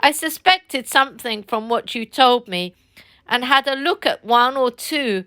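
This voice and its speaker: A woman's voice: fairly high pitch, lively intonation, a little slow, sharp tone, exaggerated voice. A woman voicing a kind but firm male water rat who speaks earnestly.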